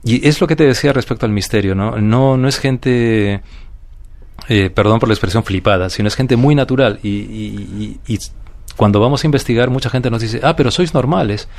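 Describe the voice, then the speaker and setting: A man with a Peruvian accent, a guest speaking calmly and reflectively in an interview, often restarting and asking "you know?". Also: medium pitch, moderate pace. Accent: Peruvian Spanish. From Peru. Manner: calm, thoughtful, many restarts and "¿sabes?". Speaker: guest, paranormal investigator.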